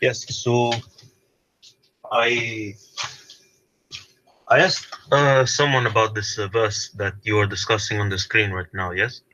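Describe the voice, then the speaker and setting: A man calling in, speaking hesitantly with many pauses and restarts. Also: lowish voice, steady tone, pushed and bright, rough recording. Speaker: caller.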